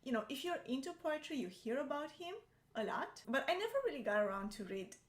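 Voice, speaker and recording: A woman's voice: high voice, animated, a little fast. Romanian-native woman chatting, echoey room. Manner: hesitant, many fillers and restarts. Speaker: co-host. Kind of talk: casual chat.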